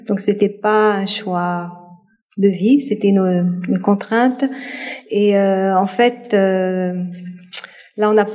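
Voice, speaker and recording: A woman's voice: mid-range voice, warm tone. Hesitant woman in a reverberant hall. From France. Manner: hesitant, many fillers and restarts. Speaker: panel guest. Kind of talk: public panel talk. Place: public hall with audience.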